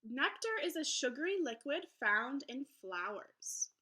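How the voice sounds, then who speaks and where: A woman teacher reading a children's book aloud in an expressive way, pausing to explain things simply to young listeners. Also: high-pitched. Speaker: elementary school teacher.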